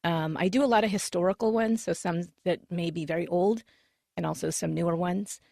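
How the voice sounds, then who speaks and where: A woman with a low, gravelly voice, recorded dry and close. She speaks in a chatty way, stopping to correct herself and filling gaps with ums. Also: fairly even intonation, a little fast, mellow, no echo. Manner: chatty, self-correcting, many fillers. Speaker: podcast host, guest. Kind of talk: roundtable discussion.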